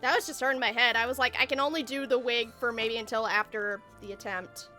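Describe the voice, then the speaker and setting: Girl streamer chatting to chat, high bright voice, kinda excitable, faint game music. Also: brisk. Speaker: game livestreamer. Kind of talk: casual livestream chat while gaming.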